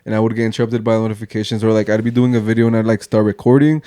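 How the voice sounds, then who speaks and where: A man's voice: fairly low pitch, flat delivery, racing, warm tone. A young man from Mexico, a podcast guest chatting casually, laid-back, filling his talk with "like" and "man" and slipping into Spanish now and then. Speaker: podcast guest, social media creator. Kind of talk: casual chat.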